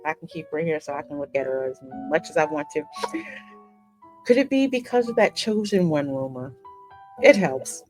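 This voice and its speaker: Lady doing game commentary, super expressive, kinda low voice, reads the story then chats playfully, bit of music behind. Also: normal speed. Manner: playful, colloquial reactions. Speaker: gaming video co-host reading game dialogue aloud. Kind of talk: game playthrough commentary, mixing read-aloud narration and casual chat.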